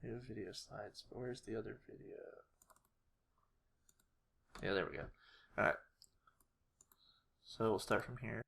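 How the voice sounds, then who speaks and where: A man's voice: on the low side, steady tone, a little slow, vocal fry, pauses often, fairly bright tone, husky. A man narrating a casual tutorial, hesitant and unrehearsed, thinking aloud as he works. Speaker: animator, video creator.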